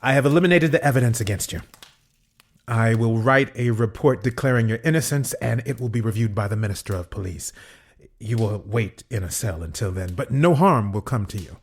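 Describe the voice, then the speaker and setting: A man playing an uneasy, formal, calculating inspector in an audio drama, officious and halting as he questions a prisoner. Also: medium pitch, husky. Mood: agitated, persuasive.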